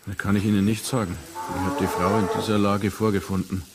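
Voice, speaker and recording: An actor playing a composed country family doctor in a radio drama, measured, formal and clinical in manner. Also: male voice, deep voice, level tone, over loud music, natural voice. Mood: composed, factual. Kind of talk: naturalistic radio drama acting.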